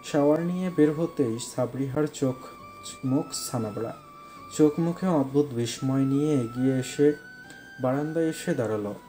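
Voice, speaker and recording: A man's voice: mid-range voice, moderate pace, mellow, soft background music. A man narrating a scripted romantic story as its storyteller.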